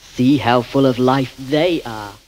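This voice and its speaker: An actor playing an excitable male asylum patient obsessed with insects, wheedling and creepy in a 1970s radio drama. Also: medium pitch, slow pace, a little hiss or hum, close to an everyday voice, poor audio. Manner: wheedling, creepy, lurching between whispers and outbursts.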